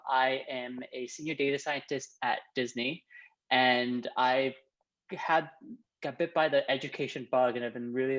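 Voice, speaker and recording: A man's voice: medium pitch, a bit bright. A young man opens a video call session as host, his voice a little dull and muffled. He talks eagerly, leaning on fillers like "kind of" and "like". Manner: enthusiastic, filler-heavy. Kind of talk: introducing a guest on a video call.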